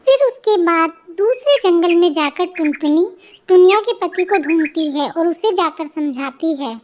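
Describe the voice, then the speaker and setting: Female storyteller, very high pitch, scripted children's narration, faint birdsong and noise behind. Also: darkish tone.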